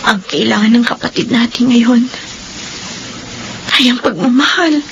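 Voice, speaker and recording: A compassionate young sister in a radio drama. Her voice is fairly high and she speaks with earnest, pious care, over faint background noise. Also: a little slow, dull audio. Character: gentle, compassionate grown sister, pious and caring.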